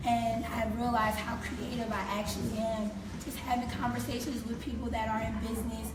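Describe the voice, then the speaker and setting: Woman guest, 30s, slightly hoarse, animated, distant noisy echoey recording. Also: fairly high pitch, normal speed. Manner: animated, acts out quoted speech. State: slightly hoarse, losing her voice. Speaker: guest, creative consultant. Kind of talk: casual interview talk. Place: talk show set on stage, seated in armchairs. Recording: echoey room from far off, noisy.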